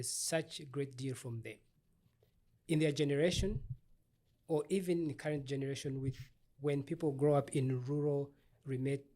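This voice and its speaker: A middle-aged man from Uganda, an obstetrician-gynecologist, telling his life story in an interview in a thoughtful, measured way with small restarts. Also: medium pitch, a little mellow.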